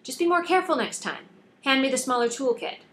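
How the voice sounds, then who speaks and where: A woman reading the part of a capable, impatient female ship mechanic in an audiobook, giving brisk instructions. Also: high voice, expressive, a bit bright, pitched above the performer's own voice. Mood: commanding.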